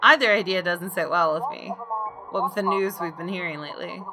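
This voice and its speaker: A woman reading visual novel story text aloud in a measured, expressive, deliberate way. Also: fairly low pitch, over loud music. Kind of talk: dramatic narration of story text. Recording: clearly noisy background.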